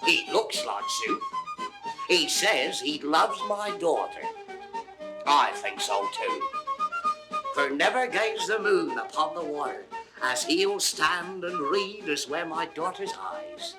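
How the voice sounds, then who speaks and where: A man's voice: on the high side, takes it slowly, exaggerated voice, poor audio. A man playing a proud, garrulous old shepherd, a doting rustic father, rambling and boastful in classical Shakespearean stage style. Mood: proud, fond. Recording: lively flute and fiddle music behind.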